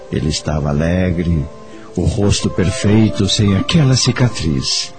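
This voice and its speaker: A man with a Brazilian accent giving solemn scripted narration for a radio soap opera. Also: sits low. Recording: background music under the voice.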